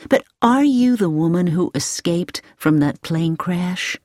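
A woman voicing a pleasant, sociable middle-aged American lady with an American accent who talks slowly and asks questions in an audiobook. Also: fairly low pitch, lively intonation, darkish tone, no echo, clean studio sound. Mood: friendly. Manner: slow, inquisitive and sociable.

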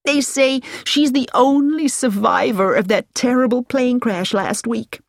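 A woman's voice: a little high, vocal fry, dry recording, studio quality. A woman reading as a chatty middle-aged American lady. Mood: gossiping. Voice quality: pleasant voice. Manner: slow, inquisitive and sociable.

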